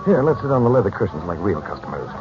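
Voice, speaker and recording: A worldly uncle and mystic adventurer in a 1940s radio drama. His American voice moves in lively, animated swings, assured and secretive. The old recording is muffled, with music clearly behind it. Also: low voice, fast talker. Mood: guarded, calm.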